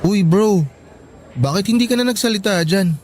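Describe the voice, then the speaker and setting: An actor playing a concerned young student friend in a Filipino radio drama, casual and hesitant, with a Filipino accent. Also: male voice, sits high, normal speed, darkish tone, slight background noise, lightly acted.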